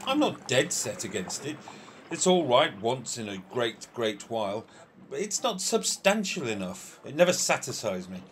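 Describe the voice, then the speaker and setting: A man's voice: medium pitch, sing-song, normal speed, slight background noise, close to an everyday voice. A man acting in an amateur radio play as an argumentative, courteous admiral who relishes every word, theatrically. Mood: relishing, emphatic. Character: opinionated, food-loving old-fashioned military admiral, courteous and argumentative.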